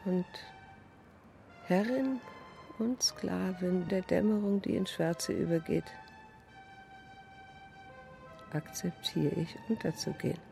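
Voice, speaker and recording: An actress playing a melancholic woman of fifty in a dramatic radio monologue, clinging to youth yet resigned, with drawn-out, expressive phrasing. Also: fairly low pitch, vocal fry, faint music behind. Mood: sinking, resigned.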